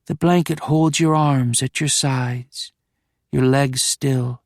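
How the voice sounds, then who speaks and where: A man voicing a calm female hypnotist who speaks in a slow, soothing, hypnotic way. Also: mid-range voice, vocal fry, no echo, clean studio sound, pitched above the performer's own voice. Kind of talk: hypnotic induction.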